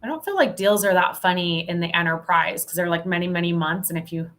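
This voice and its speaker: A woman's voice: fairly low pitch, fast talker, a bit bright. A woman talking candidly and emphatically as a podcast guest, giving informal expert advice in a casual conversation.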